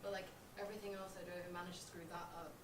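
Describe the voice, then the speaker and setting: A woman's voice: fairly low pitch, level tone, racing, close to an everyday voice. A young woman playing a defensive, self-doubting roommate in a comedic stage play. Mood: frustrated, dejected. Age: young adult. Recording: echoey room, distant mic, clear background noise.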